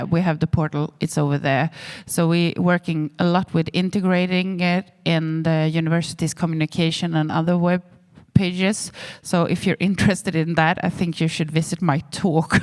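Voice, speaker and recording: A woman's voice: lowish voice, clean tone. A woman speaking hesitantly as a university panelist at a conference. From Sweden. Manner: hesitant, halting phrasing. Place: conference hall.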